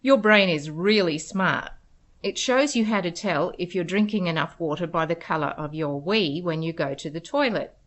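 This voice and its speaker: A woman's voice: fairly low pitch, normal speed. A woman reading scripted educational narration for preschoolers in simple, instructive phrases. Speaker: narrator of children's educational material.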